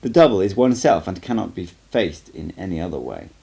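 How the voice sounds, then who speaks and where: A man voicing a stern, cryptic old sorcerer teacher in an audiobook, lecturing in a measured, emphatic way. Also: sits low, big pitch swings, gravelly, dark tone, full character voice. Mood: solemn, emphatic. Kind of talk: amateur audiobook character reading.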